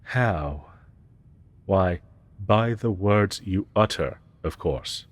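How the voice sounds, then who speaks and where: A man's voice: low-pitched, full character voice. A man playing a haughty, ancient dragon professor in a roleplay audio, speaking in archaic, formal and condescending language. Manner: pompous, archaic, formal diction; disdainful and deliberate. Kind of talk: roleplay audio drama, scripted monologue.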